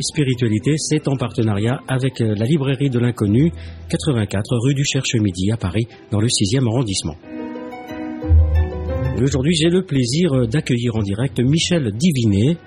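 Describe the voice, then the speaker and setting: A man hosting a live radio show, mid-pitched and easygoing. Music plays clearly under his voice. He chats informally with his guest. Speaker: radio host.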